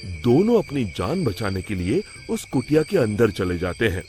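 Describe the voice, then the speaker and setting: A man's voice: on the low side, very animated, moderate pace, warm tone. A man narrating a scripted moral story as a storyteller. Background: background music.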